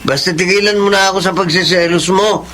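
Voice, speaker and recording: An actor playing a selfish, short-tempered, defiant boyfriend in a Filipino radio drama, dismissive and taunting. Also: male voice, sits high, fairly even intonation, brisk, background noise, natural voice. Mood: evasive, irritable.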